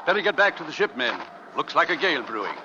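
An actor playing a resolute, authoritative sea captain in an old-time radio drama. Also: male voice, on the high side, big pitch swings. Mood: brisk, curious. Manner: brisk, authoritative. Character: commanding, resolute male sea captain of an Arctic expedition. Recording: dull, noisy vintage radio recording.

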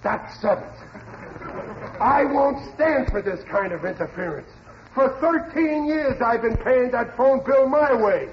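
A stubborn, bumbling working-class father in an old radio sitcom. The voice is pitched high for a man, full of exasperated bluster. The recording is dull and noisy. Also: lively intonation, measured pace. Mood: indignant. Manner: exasperated, blustery, muddled sayings. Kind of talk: 1940s radio sitcom acting.

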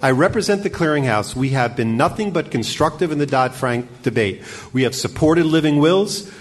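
A man's voice: fairly high pitch, lively intonation, normal speed. Brooklyn man firmly defending his side. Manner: forceful, listing points. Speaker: debate panelist, industry representative. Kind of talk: debate argument.

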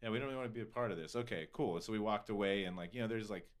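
A man's voice: lowish voice, rapid-fire. An American man hosting a casual podcast conversation, rambling and digressive, full of filler words and swearing. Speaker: podcast host.

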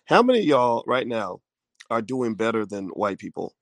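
Gravelly-voiced man hosting a podcast. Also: lowish voice, very animated, normal speed, dry recording. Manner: joking, reads viewer comments aloud. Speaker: podcast co-host. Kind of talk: casual live-stream chat.

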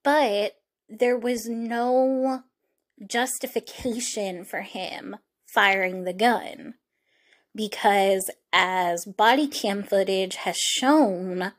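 A woman narrates true crime as a podcast host. Her pace is unhurried and her pitch sits in a middle range for a woman. She talks informally and reflectively, with halting phrases, as in a conversation with listeners.

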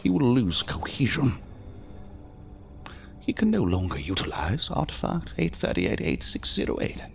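An actor playing a condescending, ornately courteous ambassador in a science fiction audio drama, with deliberate, mocking phrasing. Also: male voice, medium pitch, huge pitch swings, gravelly, a little hiss or hum. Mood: commanding, formal. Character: theatrical, silky, condescending ambassador of a secret power.

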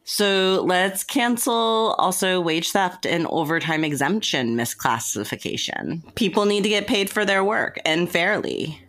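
Woman, slightly low voice, casual podcast co-host, informal, emphatic, lots of "like". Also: animated, measured pace, few pauses, fairly bright tone.

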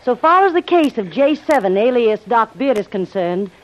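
An actress playing a plucky, earnest young heroine in old radio drama style. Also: female voice, a little high, very animated, normal speed. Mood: urgent, concerned. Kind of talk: 1940s radio acting. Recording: dull old radio recording.

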